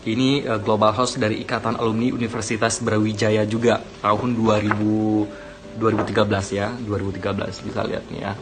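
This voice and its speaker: A man's voice: lowish voice, little pitch movement, fairly quick, music in the background. A young man from Aceh talking casually to his viewers, rambling with many fillers and self-corrections, giving encouragement. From Aceh, Indonesia. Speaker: vlogger. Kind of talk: casual talk to camera.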